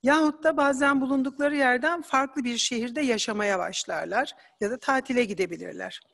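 A woman giving an academic conference presentation, explaining slides in a measured way with occasional hesitant fillers. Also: high-pitched, creaky, a bit bright, big-room sound.

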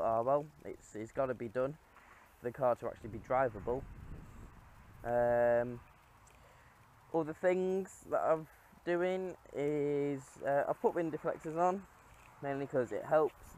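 A man's voice: mid-range voice, a little mellow, a little hiss or hum. A man casually talking through his car on camera, rambling with lots of ums. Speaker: car vlogger. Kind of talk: casual walkaround commentary. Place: outdoors on a quiet rural lane. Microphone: head-mounted action camera.

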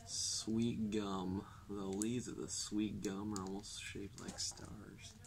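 Young male reader, low gravelly voice, deadpan delivery of a children's nature book. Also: slow pace, noisy background. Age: young adult. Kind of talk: reading a children's non-fiction book aloud. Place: indoors, public library, at a table.

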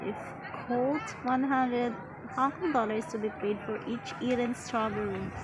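A woman's voice: fairly high pitch, a little slow, background noise. Woman vlogger reading a travel voice-over.

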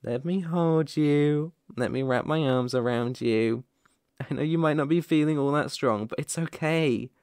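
A man's voice: on the high side, a little slow, clean tone, no room sound. A young man playing a caring, playful boyfriend looking after his sick partner in an intimate, soothing ASMR roleplay, close to the microphone. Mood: tender. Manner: gentle, soothing, affectionate, chuckling often. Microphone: close microphone, dry.

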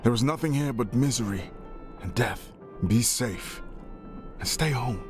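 An actor playing a war-weary soldier and protective older brother, reading a letter in a grave, earnest voice-over for a game. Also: male voice, medium pitch, lively intonation, unhurried, natural voice. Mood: grave, pleading. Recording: music behind the voice. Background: music.

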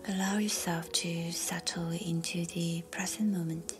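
A woman leading a guided meditation in a soft whisper, slow and calm. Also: on the low side. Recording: faint music behind.

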